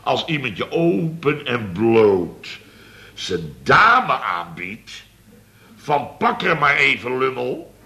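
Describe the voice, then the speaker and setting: An actor playing a blustering, indignant boss of a building firm in a Dutch radio comedy, exclaiming and grumbling. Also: male voice, mid-range voice, very animated, slow pace, pushed and bright, full character voice. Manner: exclamatory, grumbling, tags sentences with "hè" and "zeg".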